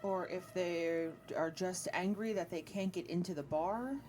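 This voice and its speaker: Low-voiced woman running a tabletop game. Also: a little slow, a little mellow, faint music behind. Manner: informal, addresses players as "you guys". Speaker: game master. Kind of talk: narrating and refereeing a tabletop roleplaying game.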